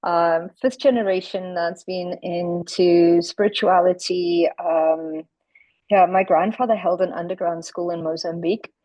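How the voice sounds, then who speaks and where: A woman's voice: lowish voice, fairly even intonation, a little slow, warm tone, dry recording, low-quality recording. A woman from South Africa hosting a group video call, talking in a reflective, conversational way. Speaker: host.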